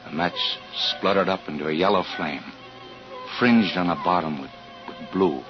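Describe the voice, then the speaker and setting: An actor playing a suspicious, uneasy husband and father who narrates in a tense, halting, deliberate way in 1940s radio style. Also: deep voice, lightly acted. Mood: suspicious, controlled. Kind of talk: 1940s radio acting with first-person narration. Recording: dull vintage 1940s radio with music.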